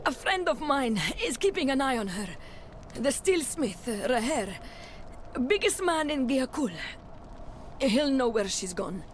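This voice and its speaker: Wounded female messenger, higher voice, slow halting speech, weary, defiant, fantasy game. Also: very animated, a little hiss or hum. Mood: pained, weary. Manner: halting, clipped. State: in pain, weary. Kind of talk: dramatic fantasy game acting. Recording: music behind the voice.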